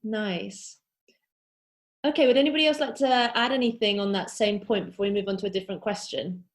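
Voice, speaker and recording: A woman's voice: mid-range voice, fairly quick. A woman moderating a webinar Q&A over a video call, with a loose, friendly way of talking and plenty of ums. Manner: friendly, loosely paced with fillers. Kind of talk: moderating an online Q&A.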